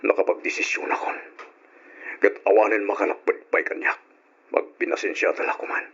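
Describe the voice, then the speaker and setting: An actor playing an aggrieved, betrayed husband in an Ilocano radio drama, speaking earnestly with emotion and an Ilocano accent. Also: male voice, lowish voice, little pitch movement, normal speed, fairly bright tone, husky. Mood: firm, pained. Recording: muffled, dull old radio drama recording.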